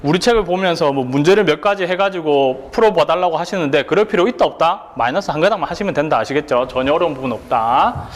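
A man's voice: fairly high pitch, animated, quick pace, hardly any pauses, big-room sound. A man lecturing as an exam prep instructor, asking rhetorical questions and answering them himself, and often checking in with the listener. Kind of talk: online lecture.

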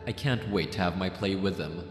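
A menacing, possessive mafia boss played by a man speaks in a fairly low voice over background music. He is playful and teasing, with a theatrical ASMR roleplay delivery. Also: natural voice. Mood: smitten, musing. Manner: slow teasing, sinister chuckles, theatrical.

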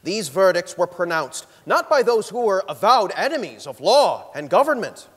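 A man's voice: high voice, big pitch swings, moderate pace, fairly bright tone, breathy edge, full character voice. A young man playing a stern, indignant supreme court justice in a formal, declamatory dramatic reading. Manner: solemn, declamatory, emphatic. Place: large theatre stage, indoors. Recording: reverberant theatre hall.

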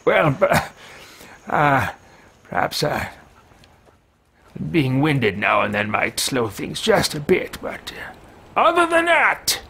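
A man's voice: fairly high pitch, huge pitch swings, slow pace, creaky, pauses often, bright, forceful tone, husky, heavily acted. A man in his thirties voicing a pompous, scheming mage who mutters grandly to himself, improvising fantasy video game role-play. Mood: flustered. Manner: theatrical, grandiose, muttering with hesitations. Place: small indoor room with shelves.

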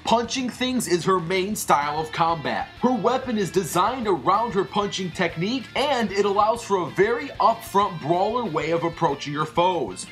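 An energetic YouTube-style host reads scripted narration. The voice sits at a medium pitch, with music playing underneath. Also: moderate pace, hardly any pauses. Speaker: host and narrator of an animated fight series.

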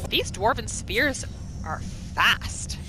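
A woman giving excitable playthrough commentary, exclaiming and talking to the game's characters as she roleplays. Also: on the high side, slow, background noise. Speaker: gaming video host. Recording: game music behind the voice. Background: video game music and sounds.

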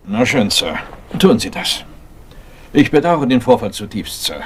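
A brusque chief inspector in a retro radio mystery. His voice sits mid-range with lively rises and drops, blunt and official, over faint noise. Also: medium pitch, a little slow. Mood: grave, official. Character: brusque, businesslike middle-aged male police chief inspector. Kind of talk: German retro crime radio drama.

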